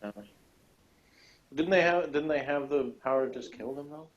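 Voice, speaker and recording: A man's voice: on the high side, normal speed. A man chatting casually as a guest reviewer, hesitant, rambling and flippant, on dull lo-fi audio. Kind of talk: casual chat.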